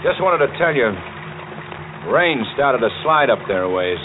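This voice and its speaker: An actor playing an easygoing, polite motorcycle cop in an old-time radio drama, with casual clipped phrasing. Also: male voice, mid-range voice, sing-song, measured pace. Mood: casual, friendly. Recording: noisy, tinny old radio recording.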